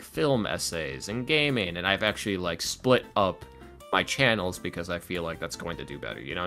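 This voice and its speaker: Lowish guy chatting on a podcast, really up and down, trips over and repeats words, soft music behind. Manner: animated, halting, repeats himself. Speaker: podcast guest, ASMR roleplay creator and voice actor. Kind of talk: casual interview chat. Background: background music.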